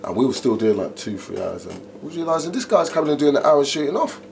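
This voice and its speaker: A middle-aged man, an MC telling stories in an interview, animated and full of "you know". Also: medium pitch, quick pace, gravelly. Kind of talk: interview, casual storytelling. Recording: noticeable background noise.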